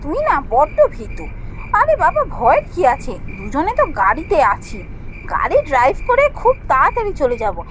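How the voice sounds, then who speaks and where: A woman voicing a bold, impatient young woman in a Bengali cartoon ghost story, with a brisk scolding manner. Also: high voice, huge pitch swings, fairly bright tone, slight background noise, heavily acted, low-quality recording. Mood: breezy, reassuring. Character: bold, impatient young woman friend driving through forest.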